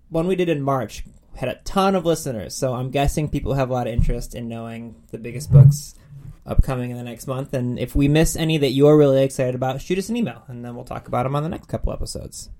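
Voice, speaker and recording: A man's voice: medium pitch, brisk, dark tone. Male podcast co-host, casual book talk, chatty and self-deprecating, mid-range voice.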